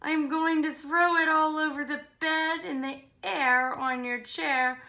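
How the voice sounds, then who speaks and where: A woman's voice: high-pitched, lively intonation, takes it slowly, sharp tone, pitched above the performer's own voice, heavily acted. A woman voicing a playful, pleading, bossy young boy monkey in a children's storybook, coaxing with emphatic rhythm. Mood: scolding. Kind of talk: children's storybook read-aloud.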